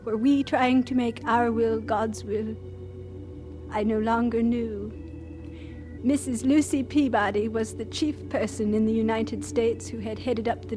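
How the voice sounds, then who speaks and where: High-voiced earnest missionary woman played by an actress. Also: lightly acted. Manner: earnest, measured, with deliberate pauses. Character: Ida Scudder, earnest devout woman missionary doctor telling her story.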